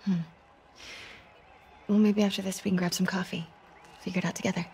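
A woman's voice: mid-range voice, little pitch movement, brisk, vocal fry, darkish tone, recorded from a distance, slight background noise, lightly acted. A woman playing a curious, friendly young woman in a video game. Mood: curious, soft. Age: young adult.

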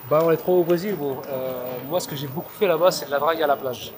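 A man's voice: on the high side, rapid-fire. A man in his thirties talking casually about street flirting, hesitant and full of fillers and restarts, recorded outdoors on a city street. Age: 30s. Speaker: street pickup learner. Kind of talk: street approach and casual debrief. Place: busy city street outdoors, traffic and passersby. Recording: outdoor street crowd behind.

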